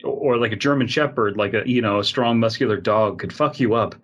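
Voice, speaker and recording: A man's voice: on the low side, brisk, fairly bright tone, highs cut off. Joking, rambling male podcast co-host. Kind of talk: casual comedic banter, reading and reacting to internet horror fiction.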